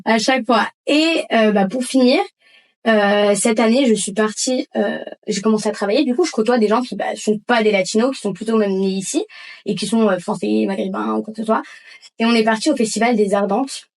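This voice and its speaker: Woman, podcast guest, lively, slangy, storytelling, fairly high voice. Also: brisk. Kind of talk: casual podcast chat.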